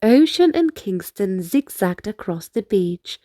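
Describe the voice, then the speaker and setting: A woman reading a children's book aloud as narrator, in an expressive storytelling manner. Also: medium pitch, slow pace, no room sound. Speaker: storybook reader.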